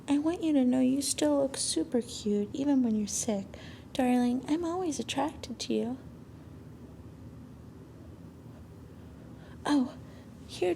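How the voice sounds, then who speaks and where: A woman playing a sweet, doting young anime girlfriend in a soothing ASMR roleplay, affectionate and gently coaxing. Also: high voice, creaky, a little hiss or hum. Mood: affectionate, teasing.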